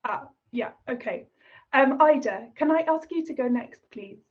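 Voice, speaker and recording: High-voiced woman moderating a video call. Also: animated, unhurried, phone-quality sound. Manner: polite, inviting speakers in turn. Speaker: session moderator.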